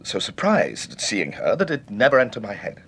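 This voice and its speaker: An actor playing a shaken, insistent witness in a British radio serial, earnest and halting, with Received Pronunciation. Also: male voice, on the low side, huge pitch swings, a bit bright, breathy edge, full character voice. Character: shaken, insistent well-bred male witness under questioning.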